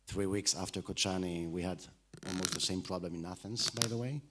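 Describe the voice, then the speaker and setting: Low-voiced French man moderating a panel. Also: brisk, background noise. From France. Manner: hesitant, many fillers. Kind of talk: panel discussion. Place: festival panel stage.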